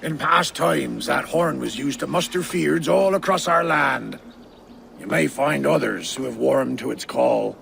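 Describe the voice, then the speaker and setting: A man voicing a gruff, loyal Saxon reeve in a game cutscene, speaking slowly and deliberately. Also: mid-range voice, a bit bright, a little hiss or hum. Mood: solemn. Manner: slow, deliberate, gravelly. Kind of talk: video game cutscene acting.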